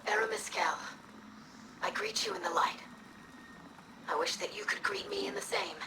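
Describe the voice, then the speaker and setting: An actress voicing an earnest young female alien in a video game, with a breathy, whispery rasp and formal, measured speech. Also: very high-pitched, wildly swooping pitch, full character voice, rough recording. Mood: solemn appeal. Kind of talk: scripted video game dialogue.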